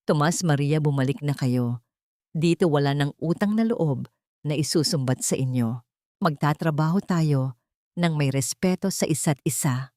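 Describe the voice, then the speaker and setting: A woman voicing a gentle, dignified older former housemaid in a storybook tale, speaking in a calm, measured way. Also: low voice, dry recording, studio quality, natural voice. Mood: welcoming. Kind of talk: dramatised storybook narration.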